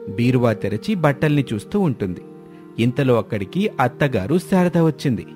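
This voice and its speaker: A man's voice: medium pitch, big pitch swings, moderate pace, a little mellow. A man reading a scripted story as its narrator, with music behind him.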